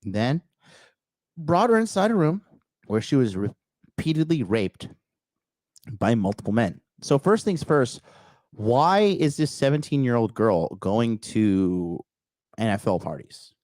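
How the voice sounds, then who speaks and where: A man hosting a livestream, reading an article aloud and answering the chat in a blunt, crude way, with a raspy, slightly nasal voice. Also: mid-range voice, sing-song, takes it slowly, pauses often, darkish tone, dry recording. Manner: blunt, crude, talks back to live chat. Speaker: podcast host.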